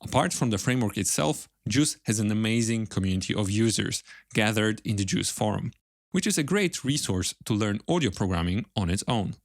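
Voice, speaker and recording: A man's voice: lowish voice, lively intonation, brisk, no room sound, clean studio sound. A man hosting an interview podcast, speaking as presenter and interviewer. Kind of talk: podcast interview, with scripted sponsor read.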